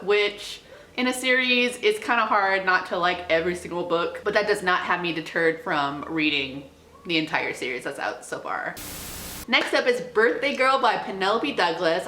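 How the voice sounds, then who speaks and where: Bright-voiced young woman in an echoey room. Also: lowish voice. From small town. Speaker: book vlogger. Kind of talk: casual chatty book recommendations.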